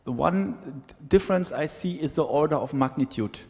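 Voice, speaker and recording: A man's voice: on the high side, normal speed, creaky, darkish tone. A man in the audience asking a panelist a question, methodically listing his points. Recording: reverberant conference hall.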